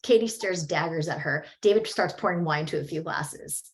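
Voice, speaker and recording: A woman's voice: deep voice, moderate pace. A woman reads stage directions as scripted narration at a table read.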